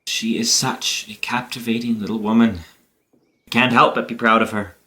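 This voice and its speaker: A man acting in an audio play as a playful, boyish young gentleman with an animated, bantering manner. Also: lowish voice, normal speed. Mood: cheerful, proud.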